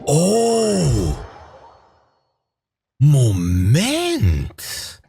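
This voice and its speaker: A man's voice: fairly high pitch, wildly swooping pitch, very slow, warm tone. A man doing casual let's play commentary as a gaming streamer, slangy and mixing in English words. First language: German. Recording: game music clearly behind voice.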